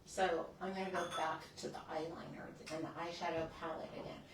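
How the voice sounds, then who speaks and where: A woman's voice: on the low side, flat delivery, a little fast, very echoey, far from the mic, a little hiss or hum. A woman in her sixties who hosts a beauty channel, chatting casually through a makeup tutorial and often restarting her sentences as she works. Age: 60s.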